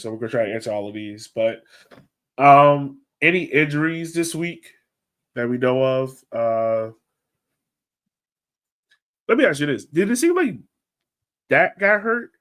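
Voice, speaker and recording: Male podcast host, medium pitch, informal and conversational, easy sports chat. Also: expressive, unhurried, pauses often.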